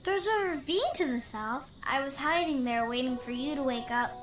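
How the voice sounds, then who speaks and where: Little kid ghost, squeaky high, sing-song, music behind, western audio play. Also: female voice, lively intonation, moderate pace. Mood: timid. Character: childlike, timid, playful ghost. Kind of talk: audio drama acting.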